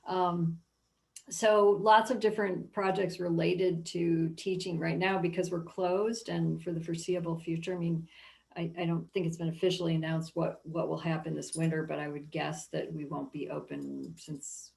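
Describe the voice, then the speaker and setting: A woman curator speaking reflectively and deliberately in an informal panel discussion. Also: lowish voice, level tone, gravelly, a little mellow. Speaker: art museum curator.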